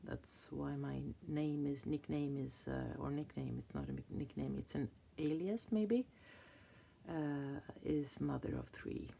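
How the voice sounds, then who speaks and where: A woman's voice: deep voice, lively intonation, unhurried, gravelly, mellow, a little hiss or hum. Hesitant Swedish woman in her fifties. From Sweden. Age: 50s. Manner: hesitant, searching for words, many restarts. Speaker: planner vlogger. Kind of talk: casual flip-through chat. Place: indoors at a table, hands on a planner.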